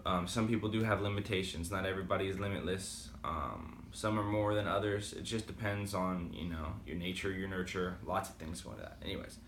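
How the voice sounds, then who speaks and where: A man's voice: low-pitched, fairly quick, hardly any pauses, a bit bright, roomy sound, slight background noise. A man with a slight Boston accent talking casually and rambling with many fillers in a motivational vlog. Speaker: vlogger. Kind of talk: casual motivational talk to camera.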